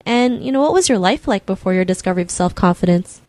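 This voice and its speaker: A woman's voice: medium pitch, lively intonation, fast, darkish tone, no echo, clean studio sound. A woman hosting a podcast interview, talking loosely and chattily with fillers and restarts. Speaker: podcast host. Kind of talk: casual interview chat.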